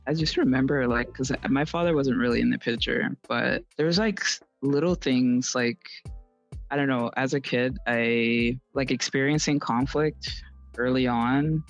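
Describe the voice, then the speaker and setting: A man speaking casually and haltingly as a podcast co-host, feeling out thoughts as they come. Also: medium pitch, creaky, soft background music. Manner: hesitant, halting delivery. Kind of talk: casual personal conversation. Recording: slightly dull lo-fi sound.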